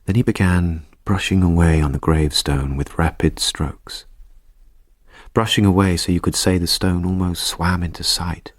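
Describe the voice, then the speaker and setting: A man acting as a reflective narrator in a radio drama, recalling the past in a measured, literary way. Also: low-pitched, steady tone, no echo. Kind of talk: scripted first-person drama narration.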